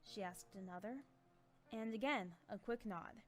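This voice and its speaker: A woman's voice: medium pitch, a little slow, faint music behind. A woman reading scripted third-person fiction aloud as a storyteller. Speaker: narrator.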